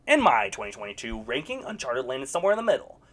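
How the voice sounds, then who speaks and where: A young adult delivers a scripted film review in a sarcastic, animated way, handing out blunt verdicts. The voice sits at a middle pitch but leaps up and down with lively inflection. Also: quick pace, over loud music. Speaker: video reviewer. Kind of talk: scripted movie review commentary.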